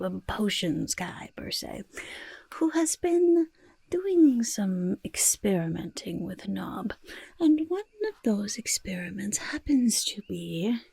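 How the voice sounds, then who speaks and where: A raspy little goblin croaks softly in a breathy half-whisper. The delivery is slow and swoops up and down, boastful and eager, with fussy repeated words in an ASMR roleplay. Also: female voice, mid-range voice, no echo, heavily acted. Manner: speaks of self in third person, fussy, emphatic repetition. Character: boastful, eager goblin potion-peddler of playful temper. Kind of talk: soft-spoken ASMR roleplay.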